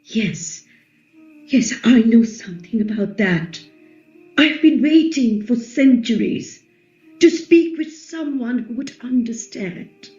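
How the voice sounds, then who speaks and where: Female actor as a proud, fierce epic queen; high, measured voice, echoey recording. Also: animated, darkish tone. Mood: solemn, admiring. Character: fierce, proud, long-angry ancient Indian queen. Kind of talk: dramatic play reading. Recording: echoey, slightly dull, music underneath.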